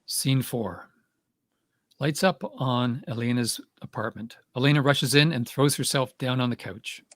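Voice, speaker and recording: A man's voice: mid-range voice, unhurried, fairly bright tone, no echo. A man giving scripted narration as the reader of stage directions.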